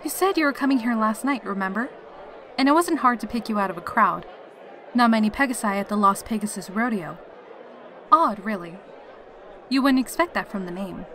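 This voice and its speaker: A woman's voice: mid-range voice, lively intonation, brisk, pauses often, a bit bright, a faint crowd, a little hiss or hum. A woman voicing a carefree, teasing female pegasus soldier in a fan audio drama. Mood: cheerful, teasing. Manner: breezy, teasing, cheerful.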